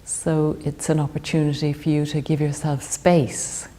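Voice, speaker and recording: A woman leading a guided meditation in a calm, gentle, soothing manner. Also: low-pitched, moderate pace. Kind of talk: guided body scan meditation.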